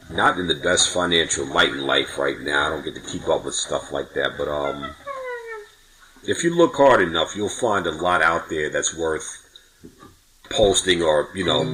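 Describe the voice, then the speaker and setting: A man with a very deep, smoky voice, chatting casually in a halting way and repeating the starts of words. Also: expressive, pushed and bright, poor audio. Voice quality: very deep voice that sounds like a heavy smoker's. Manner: halting, repeats word beginnings. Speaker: podcast guest and co-host. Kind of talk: casual conversation with a dramatic recitation.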